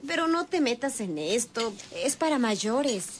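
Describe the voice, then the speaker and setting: A woman's voice: on the high side, sing-song, unhurried, a little hiss or hum. A woman playing a protective, worried mother figure, firm and explaining, in a radio soap opera.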